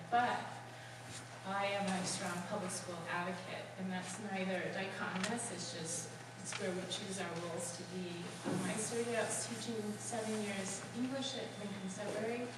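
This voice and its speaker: A woman superintendent on a school panel, telling her own story with many small pauses. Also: medium pitch, heavy background noise. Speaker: superintendent principal. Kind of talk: panel remarks. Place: school hall. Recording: echoey hall, distant mic.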